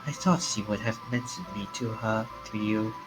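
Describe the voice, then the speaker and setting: A man voicing a polite, menacing sorcerer's associate in a visual novel, speaking formally and with measured courtesy. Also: on the low side, lightly acted, low-quality recording. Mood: coolly courteous. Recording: game music behind the voice.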